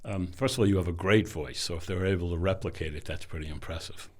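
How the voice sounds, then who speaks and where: A man who runs a company, a podcast guest who talks in a measured, thoughtful way, often trailing off softly. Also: low-pitched. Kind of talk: casual interview conversation.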